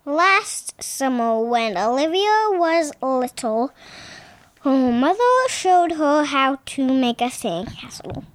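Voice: high voice, lively intonation, slow, fairly bright tone. A six-year-old child reading a picture book aloud with chatty asides. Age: child, about six.

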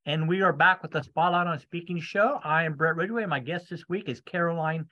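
A man's voice: a little high, fairly quick, pushed and bright, lo-fi sound, soft background music. A man hosting a podcast interview in a conversational style. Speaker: podcast host.

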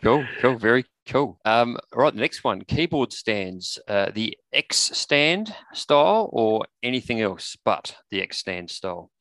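A man's voice: medium pitch, big pitch swings, a little slow, hardly any pauses, a bit bright, dry recording. A man co-hosting an interview in casual, chatty style. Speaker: interview co-host. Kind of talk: casual chat.

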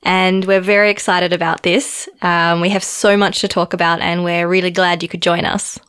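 A woman's voice: fairly low pitch, little pitch movement, normal speed, a bit bright, clear, resonant tone, no echo, studio quality. Chatty Australian woman in her thirties. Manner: chatty, filler-heavy with frequent ums. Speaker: podcast co-host. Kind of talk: casual podcast chat.

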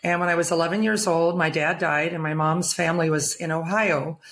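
A woman's voice: low voice, fairly even intonation, brisk, a bit bright, slight background noise. A woman in her seventies from England, long settled in the United States, telling personal stories as an interview guest. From England, later United States. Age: 70s. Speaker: interview guest, retired nurse.

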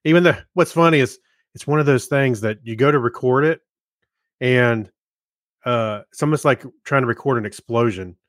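Male podcast sidekick, medium pitch, casual halting delivery, very dry room sound. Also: no echo. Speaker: podcast co-host. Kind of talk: casual chat.

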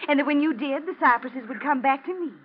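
A woman's voice: high voice, lightly acted. An actress playing a vengeful wife who coldly taunts her murderous husband, theatrical and ominous, in an old-time radio thriller. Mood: cold menace. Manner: ominous, deliberate, mocking, theatrical. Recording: muffled, noisy old radio drama recording.

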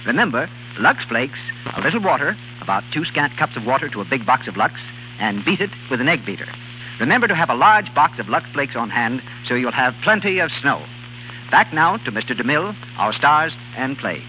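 Man, 1930s radio ad announcer, emphatic sales delivery, lively intonation, muffled, faint hiss. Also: medium pitch, brisk. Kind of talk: scripted 1930s radio advertisement.

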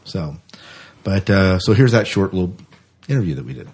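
A man's voice: low-pitched, very animated, normal speed, darkish tone. A man hosting a podcast in a casual, conversational style. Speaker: podcast host.

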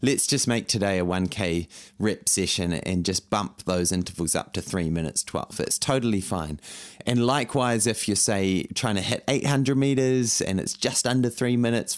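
A man with a scratchy, croaky throat from a cold, coaching runners through a workout in a relaxed, encouraging way, often repeating words. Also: lowish voice. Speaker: running coach. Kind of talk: guided workout talk.

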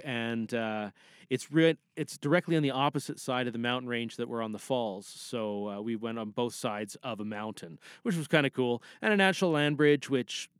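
A man's voice: medium pitch, no echo, studio quality. A man giving a casual, chatty, unscripted recap as a podcast host, rambling from one point to the next.

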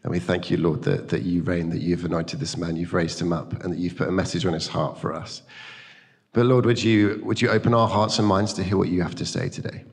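A man's voice: low voice, fairly even intonation, brisk. A British man hosting a church gathering in an informal, conversational way. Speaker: church host introducing a guest. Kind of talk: informal hosting and spoken prayer. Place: large church hall, speaking to a congregation. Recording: echoing reverb of a large hall.

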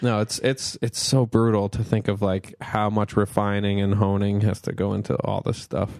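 A man who co-hosts a podcast and does stand-up, talking casually. He is agreeable and often chimes in with a quick "yeah". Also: lowish voice, level tone, vocal fry, a little mellow, dry recording, clean studio sound. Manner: agreeable, frequent "yeah" backchannels. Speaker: podcast co-host and stand-up comedian.

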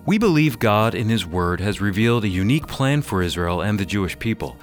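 A man's voice: lowish voice, very animated, fairly quick, faint music behind. A man reading a scripted radio program introduction as the announcer.

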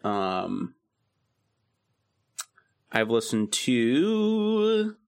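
A man's voice: medium pitch, very animated, extremely slow, vocal fry, smooth. A man co-hosting a podcast in casual chat, laid-back and easily enthused, with many "um"s. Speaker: podcast co-host.

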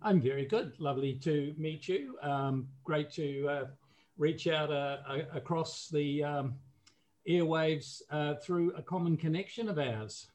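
A man's voice: fairly high pitch, a little slow, a little mellow. A man in his sixties from New Zealand with a New Zealand accent, a podcast guest talking casually with many fillers and restarts. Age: 60s. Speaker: guest, recovery business owner and coach.

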